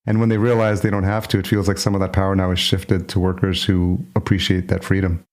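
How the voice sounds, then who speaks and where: A man's voice: deep voice, completely flat delivery, quick pace, darkish tone. A man with an American accent from New York, speaking conversationally and reflectively as a podcast host. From New York, United States. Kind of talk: conversational interview.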